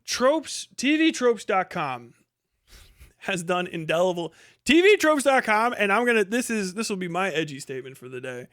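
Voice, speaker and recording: A man's voice: high-pitched, sing-song, normal speed, fairly bright tone, dry recording, clean studio sound. A man hosting a livestream, giving rambling, emphatic and sweary opinionated commentary in casual speech. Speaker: livestream host.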